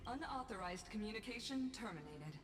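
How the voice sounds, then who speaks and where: An actress voicing an impersonal computer alarm in a video game, with clipped official warnings. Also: female voice, medium pitch, lively intonation, brisk, close to an everyday voice. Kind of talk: scripted game system announcement. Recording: reverberant announcement over game music.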